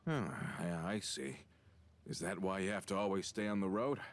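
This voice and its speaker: A man's voice: deep voice, moderate pace, natural voice. A man voicing a courteous, earnest henchman in a video game, speaking in a formal, polite and sincere way. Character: courteous, earnest loyal henchman of a lady boss. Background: game music.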